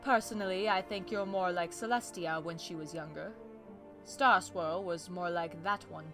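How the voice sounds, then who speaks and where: A woman's voice: fairly low pitch, normal speed, lightly acted. A woman playing a worried, regal pony princess of the night in a cartoon comic dub, speaking in formal, archaic royal diction. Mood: solemn, formal. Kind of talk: fan comic dub acting. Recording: music behind the voice.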